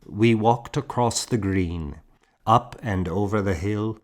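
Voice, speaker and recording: A man narrates a historical novel for an audiobook. His voice sits fairly low and he reads very slowly, in a measured storytelling style. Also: a little mellow.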